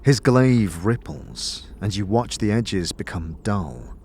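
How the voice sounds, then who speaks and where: A man narrating a scripted fantasy audio drama in a measured, deliberate, dramatic style. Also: fairly low pitch, animated, darkish tone, a little hiss or hum.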